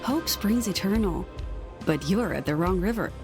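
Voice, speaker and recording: A woman's voice: medium pitch, animated, moderate pace, over music, lightly acted. An actress playing a cryptic, world-weary woman in a video game. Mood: cryptic, brooding. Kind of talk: video game character voice line.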